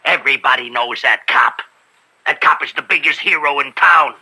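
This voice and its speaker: Blunt, raspy gangland boss. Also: male voice, mid-range voice, normal speed, bright, forceful tone, full character voice. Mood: exasperated. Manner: rough street grammar, blunt. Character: blunt, domineering male crime boss and father. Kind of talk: old-time radio drama acting. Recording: vintage radio drama recording.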